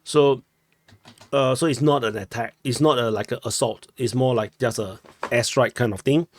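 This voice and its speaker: A young Singaporean man with a Singaporean English accent, talking through war maps in a loose, chatty way. He corrects himself mid-sentence and keeps asking "no?". His voice sits at a middling pitch and sounds close and dry, recorded on a desk webcam in a small room. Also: fairly even intonation, normal speed, no echo. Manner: chatty, self-correcting, ends with "no?". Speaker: war map analyst. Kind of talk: casual map commentary.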